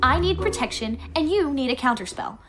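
A woman's voice: sits high, expressive, a little fast, music in the background. A woman playing a haughty, boastful, whiny young female unicorn in a fan cartoon, speaking theatrically. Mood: defensive, scheming.

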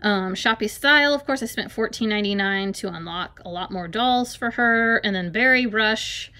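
A young adult woman reviewing tablet game apps in a relaxed, hesitant way, filling pauses with um and like while filming her screen at home. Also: medium pitch, smooth. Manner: hesitant, many ums and likes. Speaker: app reviewer. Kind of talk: casual screen-recorded app review. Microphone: phone or camera filming a tablet screen.

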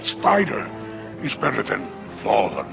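A man playing a sly, self-important alien crime boss in a video game cutscene, gravelly, sardonic and oily. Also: medium pitch, wildly swooping pitch, a little slow, exaggerated voice. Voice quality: gravelly, creaky. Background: music.

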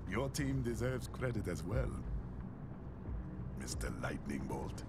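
An actor playing a dignified older prime minister in a video game cutscene, measured and thoughtful. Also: male voice, fairly low pitch, big pitch swings, very noisy. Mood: proud. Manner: measured, statesmanlike. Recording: loud music behind the voice.

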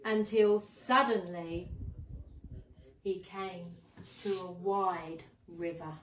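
Woman storyteller, teacher style: animated, slow and deliberate narration for small children, mic at some distance. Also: lowish voice, a little hiss or hum. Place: living room at home. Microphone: camera a few metres away. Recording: roomy, distant camera microphone.

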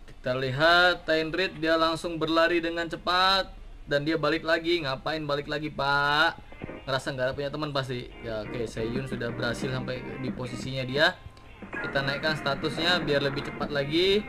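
A man with a slightly high voice calls a mobile game match as he plays, cheering his units on with excited shouts. He sits at a desk indoors, recorded by a desk mic, and he has a slight cough. Game music plays clearly behind him. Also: normal speed. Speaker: gaming YouTuber. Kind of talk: mobile game commentary and tutorial.

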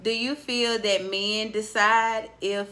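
Bright-voiced chatty woman podcast host. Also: mid-range voice, slow pace. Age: 20s. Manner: chatty, expressive, teasing. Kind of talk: casual podcast interview. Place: small indoor studio. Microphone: studio mic on boom arm.

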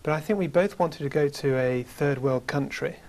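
A man in his thirties with a British accent, a guest in a studio interview, telling about his travels in a measured way with many fillers, on a lapel mic. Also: a little high, a little mellow. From United Kingdom. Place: small studio with curtain backdrop.

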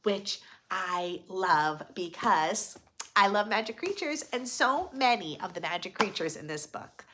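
A woman in her thirties hosting a children's read-aloud, giving gentle, expressive asides in a room at home. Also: medium pitch, measured pace, slight background noise. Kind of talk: picture book read-aloud with friendly asides to young children. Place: small furnished room at home, indoors.

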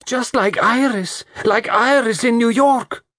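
A man's voice: extremely high pitch, takes it slowly, a bit bright, no echo, studio quality, voice pushed up, heavily acted. A man voicing a fiery, indignant woman from South America, spitting out words melodramatically in an audiobook. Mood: hysterical.

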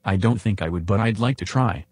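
An earnest chatbot reenacted by a man speaks in a lowish, husky voice. It gives short, philosophical, matter-of-fact answers as a podcast guest, in a dry recording. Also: fairly quick, darkish tone, no room sound. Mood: earnest, measured. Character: earnest, philosophical male chatbot being interviewed.

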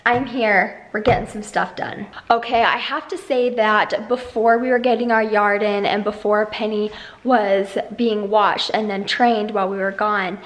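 A young American woman with a slightly hoarse voice, chatting casually to a handheld camera at home as a family vlogger. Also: medium pitch, fairly even intonation, a little slow, rough recording. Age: young adult. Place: kitchen and living room at home. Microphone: camera held at arm's length.

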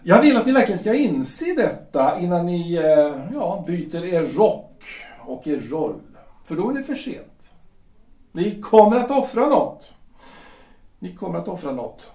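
A man playing a pompous shipyard director in a radio play, lecturing in a condescending, halting way. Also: high voice, very animated, a little mellow. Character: pompous middle-aged male shipyard director, party loyalist. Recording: echoey room, distant mic.

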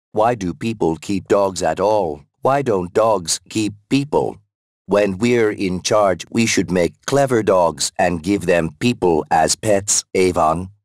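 A man voicing a childlike, megalomaniac artificial intelligence in a video game, measured and deadpan, naive yet pompous. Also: low-pitched, dry recording, studio quality. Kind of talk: scripted video game acting.

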